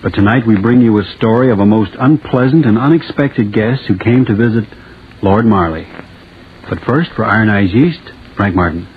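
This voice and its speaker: A man's voice: lowish voice, moderate pace, breathy edge. A man giving a scripted introduction as host of a 1940s radio drama. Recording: thin, phone-like 1940s radio recording, faint music.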